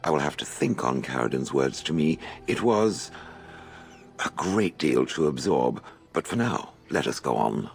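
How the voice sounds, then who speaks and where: A stone golem from a fantasy game with an extremely deep voice. The delivery is formal and haughty, yet it swings expressively in pitch. Music plays underneath. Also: male voice, big pitch swings, gravelly, heavily acted. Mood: pensive gratitude. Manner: formal, haughty, deliberate phrasing. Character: towering stone golem, aloof, probing, calls people "it". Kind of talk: fantasy video game dialogue.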